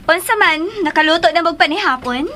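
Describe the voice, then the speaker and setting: A woman's voice: very high-pitched, lively intonation, a little fast, sharp tone, faint music behind, exaggerated voice. An actress with a Cebuano accent playing a haughty, hot-tempered wife who bosses and scolds her household in a radio drama. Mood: bossy, haughty. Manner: imperious, scolding, snapping.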